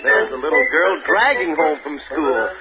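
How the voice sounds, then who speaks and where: A man's voice: on the high side, expressive, moderate pace, close to an everyday voice. A man playing a folksy, emphatic announcer in the style of 1930s radio advertising. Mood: friendly persuasion. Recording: muffled 1930s radio recording, music behind.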